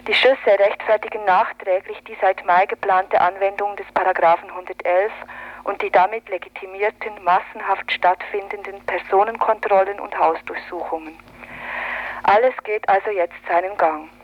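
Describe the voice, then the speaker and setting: A woman's voice: mid-range voice, fairly even intonation, normal speed, dull audio. A woman reading a scripted political commentary on a 1980s radio recording.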